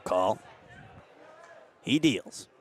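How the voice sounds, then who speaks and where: A young man calling a game as a radio play-by-play announcer, steady between plays and rising to excited shouts on big hits. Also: lowish voice, extremely slow. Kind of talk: radio sports play-by-play commentary.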